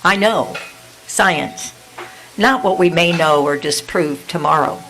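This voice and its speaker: An older woman reading her own poetry aloud at an event, measured and unhurried, then chatting loosely with fillers between pieces. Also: on the low side, fairly bright tone, faint music behind, low-quality recording. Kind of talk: poetry reading and spoken introduction. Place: indoor venue with an audience.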